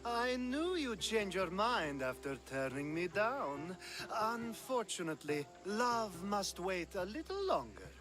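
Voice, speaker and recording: A man's voice: high voice, sing-song, slow, over music, full character voice. A man acting a flirtatious, flamboyant Greek aristocrat in a video game, teasing and theatrical in a drawl.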